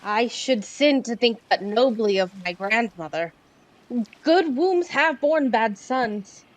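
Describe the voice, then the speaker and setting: A woman's voice: on the high side, expressive, slow pace, rough recording. A woman playing a dutiful, tender-hearted young daughter of an exiled duchess in Shakespearean audio drama, speaking earnestly and with exclamation. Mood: dismayed.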